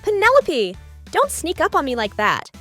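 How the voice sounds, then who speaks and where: Woman playing a bright, sassy cartoon diva. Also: high-pitched, huge pitch swings, moderate pace, soft background music, heavily acted. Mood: sassy, evasive. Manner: sassy, emphatic. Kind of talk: animated comedy voice acting.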